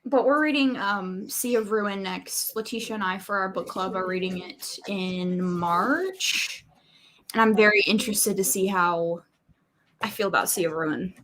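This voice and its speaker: A woman's voice: medium pitch, measured pace, a bit bright. A woman co-hosting a livestream, chatting casually about books. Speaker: book club livestream co-host. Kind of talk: casual book discussion.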